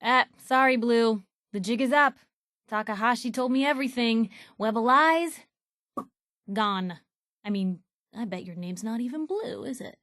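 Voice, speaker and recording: Game role: plucky wasteland newswoman, young, highish voice, wry and teasing with a good heart, dry studio sound. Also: measured pace, fairly bright tone, no echo. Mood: wry, playful. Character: plucky young woman reporter, sassy, warm-hearted, in a post-apocalyptic wasteland. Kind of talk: video game voice acting, conversational dialogue lines.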